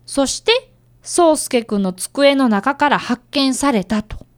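A woman's voice: high-pitched, expressive, slow, clear, resonant tone. A woman playing an earnest, polite fifth-grade boy who narrates and acts in a radio drama, with a touch. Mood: kindly, questioning.

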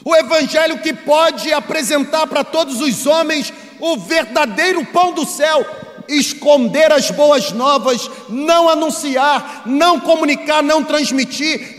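A Brazilian man delivers a sermon in a very high-pitched voice. He preaches emphatically, building rhetorical weight through repeated phrases. His voice rings with the long reverb of a large hall. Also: fairly bright tone. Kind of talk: sermon to a congregation.

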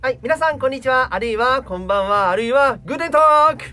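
A woman's voice: sits high, lively intonation, fast, pushed and bright. A woman co-hosting a casual voice actors' radio show, polite, with slightly loose articulation. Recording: background music under the voice. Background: music.

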